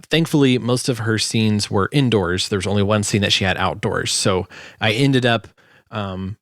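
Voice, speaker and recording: A man's voice: on the low side, a bit bright, no echo, studio quality. A man giving a casual, informal tutorial as a sound designer, hesitant as he thinks aloud. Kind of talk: casual screen tutorial narration.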